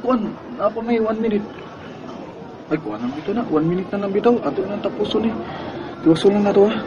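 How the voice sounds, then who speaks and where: An actor playing a friendly, awkward teenage schoolboy in a radio drama, with a hesitant manner and a Cebuano accent. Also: male voice, high voice, animated, brisk, lo-fi sound, a crowd in the background, noisy background. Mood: flustered, persuading. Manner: hesitant, boyish. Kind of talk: Bisaya radio drama acting.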